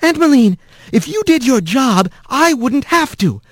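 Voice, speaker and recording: Brief: man playing a courteous young lady mouse, raised and lively voice, storybook heroine. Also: high-pitched, moderate pace, no room sound, clean studio sound, pitched above the performer's own voice. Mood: firm. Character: kind, level-headed young female mouse councillor.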